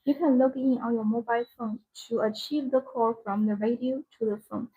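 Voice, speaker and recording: A woman's voice: a little high, fairly even intonation, a little fast, warm tone, slightly rough, rough recording. A woman who speaks English as a second language, presenting products in a live sales demonstration with simple, repetitive phrasing. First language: not English.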